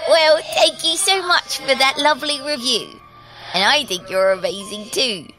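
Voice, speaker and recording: A woman's voice: sits high, unhurried, sharp tone, soft background music. A woman hosting a story podcast with a scripted introduction. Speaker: show host.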